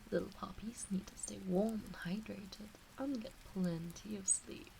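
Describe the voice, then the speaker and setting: A woman voicing a teasing, doting kitsune witch in an intimate ASMR roleplay, with a soft breathy voice that often slips into whispers and coaxing baby-talk. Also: medium pitch, unhurried, a little hiss or hum, poor audio. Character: teasing, doting kitsune fox witch fussing over her pet. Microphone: close ASMR microphone.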